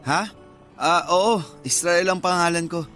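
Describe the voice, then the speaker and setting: A man's voice: sits high, a little slow, a bit bright, soft background music. A man playing a modest, obliging young mechanic in a Tagalog radio drama, polite and easygoing in manner. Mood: casual, bashful.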